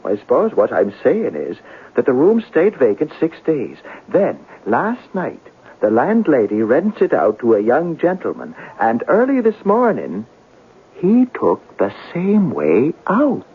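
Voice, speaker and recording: An actor playing a deferential police sergeant in an old-time radio drama, hesitant and respectful, with folksy grammar. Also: male voice, medium pitch, wildly swooping pitch, measured pace. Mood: grave. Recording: muffled vintage radio broadcast.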